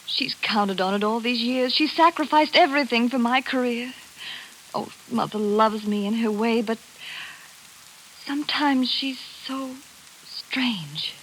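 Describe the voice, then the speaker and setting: An actress playing an earnest, troubled young daughter in a plaintive 1940s radio drama manner. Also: female voice, fairly high pitch, measured pace, a bit bright, low-quality recording. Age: young adult. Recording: hissy 1940s radio broadcast.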